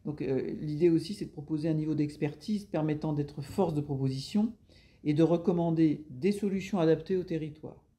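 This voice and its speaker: A woman's voice: sits low, fairly quick, warm tone. A woman explaining her public service work in interview answers, with formal administrative phrasing.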